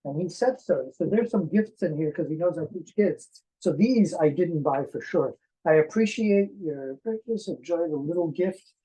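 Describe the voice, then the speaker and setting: Mellow, husky voice chatting through an unboxing. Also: medium pitch, moderate pace, rough recording. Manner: excitable exclamations, joking asides. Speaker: film collector and teacher presenting an unboxing. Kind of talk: casual unboxing chat.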